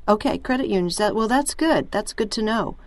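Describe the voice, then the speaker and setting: A woman's voice: mid-range voice, expressive, a little fast, no room sound. A woman conducting a conversational phone interview, agreeing often and phrasing her questions with some hesitation. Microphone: telephone call.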